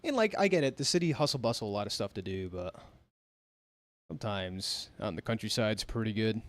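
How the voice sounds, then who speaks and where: A man's voice: lowish voice, animated, a little fast, no room sound. An American man chatting casually on a live gaming stream, laid-back and laughing often as he reads chat. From United States. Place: home near a big city.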